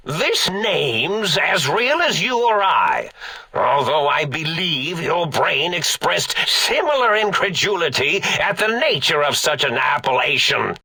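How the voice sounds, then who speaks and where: A man playing an eccentric, forgetful old mad scientist in a video game, raspy and theatrical, rambling along with pompous, absent-minded manner. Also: on the high side, bright, forceful tone, no echo, exaggerated voice. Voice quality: raspy, creaky. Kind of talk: video game character acting.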